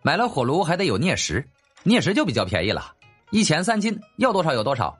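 一位男演播者在有声书里扮演一个厚脸皮、爱做买卖的年轻贵族公子，语气轻快讨好。. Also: male voice, a little high, huge pitch swings, quick pace, fairly bright tone, husky, soft background music, voice pushed up, full character voice. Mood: breezy, boastful. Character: cheeky, shameless young nobleman turned merchant, glib and smiling. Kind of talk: audiobook character acting.

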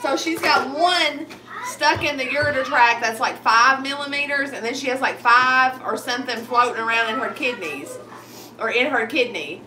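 A woman's voice: high-pitched, normal speed, bright, forceful tone. Woman, 30s, congested head cold, rambling cooking chat, home kitchen, distant phone mic, noisy. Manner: rambling, chatty, talks while chopping. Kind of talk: casual cooking vlog chat. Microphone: phone camera set across the counter. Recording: distant phone mic with clear background noise.

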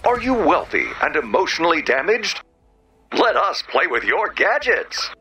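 An actor playing an eager robot who talks like a grandiose, theatrical movie-trailer announcer in a game. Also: male voice, a little high, big pitch swings, normal speed, bright, forceful tone, breathy edge, soft background music, heavily acted. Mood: booming sales pitch.

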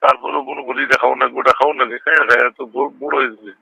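Middle-aged bangladeshi guy on a phone call, gravelly and really bright, repeats himself. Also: medium pitch, little pitch movement, racing, no room sound. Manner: repetitive, often checks the listener understands. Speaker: local politician. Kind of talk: casual phone chat. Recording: leaked phone call recording.

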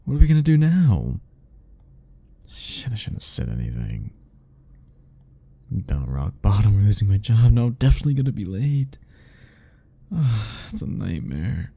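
A man's voice: lowish voice, sing-song, normal speed, vocal fry, long pauses, warm tone, breathy edge, heavily acted. A man playing an anxious, sarcastic, self-deprecating young man in a romantic ASMR roleplay, speaking in a wry, rambling way to the listener. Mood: panicked, exasperated. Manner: sighing, wry, rambling, chuckling.

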